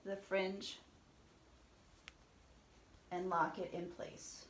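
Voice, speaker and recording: A woman with a stuffy nose from a cold, calmly talking through a step-by-step tutorial and often dropping to a soft whisper. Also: mid-range voice, background noise. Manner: calm, unhurried, often drops to a soft whisper. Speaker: head wrap tutorial host.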